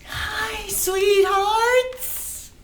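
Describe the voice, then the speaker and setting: A bitter, scornful mother, acted by a woman in a play reading, with a high voice and slow delivery. She is profane and wounded, ready to lash out, and the room echoes around her. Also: steady tone, a little hiss or hum, heavily acted. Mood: bitter, brittle. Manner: scornful, lashing out.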